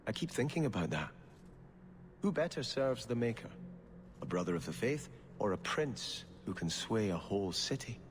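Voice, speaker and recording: An actor playing a devout exiled prince torn between faith and throne in a video game, speaking earnestly and in measured phrases. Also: male voice, lowish voice, big pitch swings, slight background noise. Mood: earnest, conflicted.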